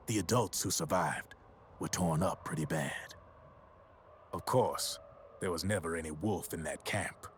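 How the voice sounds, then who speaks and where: An actor playing a grave older man who solemnly and deliberately recounts a war tragedy in a video game cutscene. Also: deep voice, a bit bright, slight background noise.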